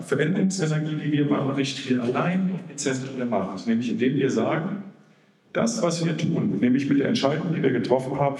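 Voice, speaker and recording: A man's voice: medium pitch, a little mellow. A man addressing a congress audience with an emphatic, rallying speech. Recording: echoey hall.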